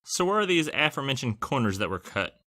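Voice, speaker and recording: A man's voice: medium pitch, big pitch swings, fast, bright, forceful tone. A man hosting a scripted product review, narrating with wry, joking asides. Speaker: tech reviewer and host.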